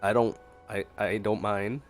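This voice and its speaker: A man's voice: on the low side, little pitch movement, a little slow. A man giving casual, playful reactionary commentary as he streams a game. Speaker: gameplay streamer. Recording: game music behind the voice.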